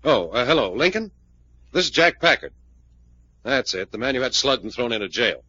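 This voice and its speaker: Sharp, blunt radio detective. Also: male voice, medium pitch, big pitch swings, normal speed, breathy edge, no echo, full character voice. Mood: firm, accusing. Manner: blunt, interrogating, sardonic. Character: tough, sharp, probing male investigator. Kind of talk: 1940s radio drama acting. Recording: muffled old-time radio recording.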